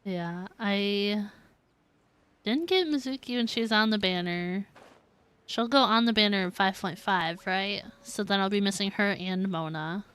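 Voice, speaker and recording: Gushing, unhurried woman game streamer. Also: mid-range voice, fairly bright tone, clear, resonant tone. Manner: gushing, giggly. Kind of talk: casual livestream reactions to a game.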